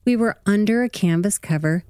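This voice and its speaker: A woman playing an affectionate, gently chiding wife and mother, a former nun, explaining patiently in a radio drama. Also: mid-range voice, fairly quick, clean tone, no room sound, clean studio sound, natural voice. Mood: gently reassuring. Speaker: actor in an audio drama.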